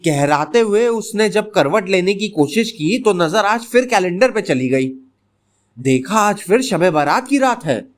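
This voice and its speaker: A man narrating a scripted bedtime story in an expressive, dramatic storytelling manner. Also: sits high, measured pace.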